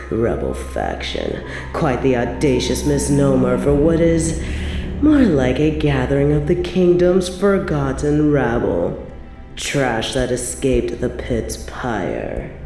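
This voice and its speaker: A woman's voice: deep voice, mellow. A woman playing a cruel, possessive medieval evil queen in an audio drama, speaking in regal, mocking, theatrical and formal phrases. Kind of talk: scripted roleplay audio drama. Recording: roomy reverb, music underneath.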